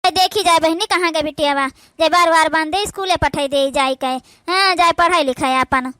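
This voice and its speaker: A woman with an Awadhi accent playing a gentle, patient young stepmother in a cartoon, coaxing and soothing as she speaks. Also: extremely high pitch, moderate pace, dry recording, studio quality. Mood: calling, fretting. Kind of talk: amateur comedy cartoon dialogue.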